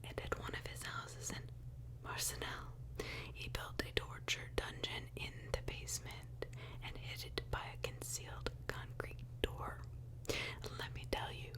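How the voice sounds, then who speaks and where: Female ASMR true-crime host: whispered throughout, very unhurried, conversational delivery. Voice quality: whispered, breathy unvoiced delivery.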